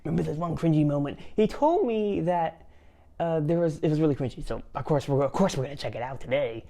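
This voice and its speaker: A man's voice: on the high side, animated, quick pace, warm tone. Male vlog host, casual, self-deprecating, chatty reaction voice. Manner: rambling, self-mocking, frequent laughs and restarts. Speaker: channel host.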